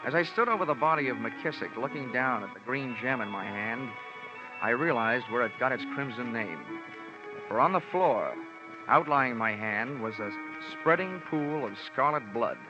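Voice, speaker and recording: Hard-boiled investigator narrator, a man acting, mid-pitched, wry and tough, muffled radio sound over prominent music. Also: expressive, normal speed, close to an everyday voice. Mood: grim narration. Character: hard-boiled, wisecracking male insurance investigator. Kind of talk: noir first-person narration, old-time radio acting. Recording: muffled old radio drama recording.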